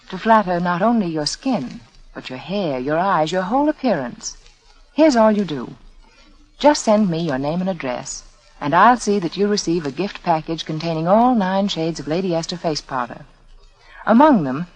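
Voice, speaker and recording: A woman with an American accent reading a scripted 1940s radio advertisement as hostess, intimate and deliberately persuasive. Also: lowish voice, poor audio. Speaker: sponsor hostess.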